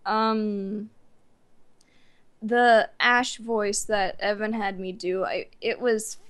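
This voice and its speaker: A nineteen-year-old woman chatting casually as an interview guest, with a giggly, animated way of telling stories. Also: medium pitch, very slow, clear, resonant tone. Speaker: guest, amateur voice actor.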